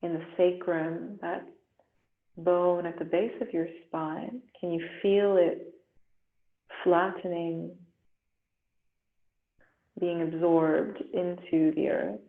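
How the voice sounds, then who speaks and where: Woman yoga teacher, slightly low pitch, soothing guided meditation, very slow with long pauses, echoey muffled phone-like recording. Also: steady tone. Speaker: yoga instructor. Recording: roomy echo, muffled phone-like sound.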